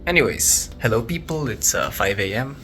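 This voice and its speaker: A young man from the Philippines vlogging to camera early in the morning, sleepy and keeping his voice low and quiet. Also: big pitch swings, normal speed, slight background noise. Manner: quiet, held-back talk early in the morning. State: sleepy, voice deeper than usual. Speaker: travel vlogger. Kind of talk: casual vlog to camera.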